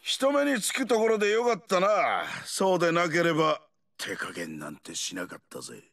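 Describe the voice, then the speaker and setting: An actor playing a brash, cocky ageing brawler in a video game, with rough, taunting talk and a gravelly voice. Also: male voice, fairly high pitch, sing-song, normal speed, a bit bright, full character voice. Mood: mocking, taunting. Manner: rough, swaggering, taunting slang. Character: brash, rowdy, cocky ageing male explosives-loving brawler. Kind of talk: video game character voice lines.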